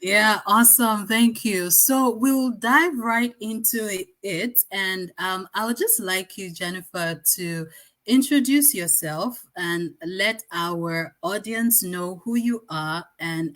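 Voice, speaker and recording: A woman's voice: medium pitch, slow, a bit bright. Chatty woman hosting a live chat. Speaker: host interviewing a guest. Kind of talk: casual live online chat interview.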